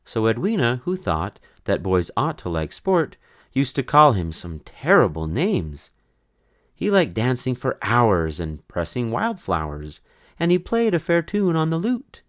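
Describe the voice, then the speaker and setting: A man reading a children's story in verse aloud, with an expressive, measured, sing-song delivery. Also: mid-range voice, no room sound, studio quality. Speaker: storybook reader.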